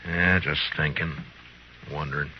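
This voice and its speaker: A very low, gruff voice of a stern lawman in a radio western. He speaks laconically, with weary authority. The recording is muffled and hissy, like vintage radio. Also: steady tone, unhurried. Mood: weary, firm. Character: stern, weary frontier marshal who guards the jail. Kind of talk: old-time radio western acting.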